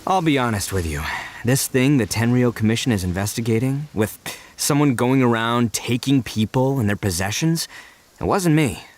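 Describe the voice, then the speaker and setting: An actor playing a brash, big-hearted young gang leader in a video game, boisterous and colloquial with boyish bravado. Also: male voice, mid-range voice, animated, normal speed, slight background noise, lightly acted. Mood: sheepish, sincere. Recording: faint game music behind.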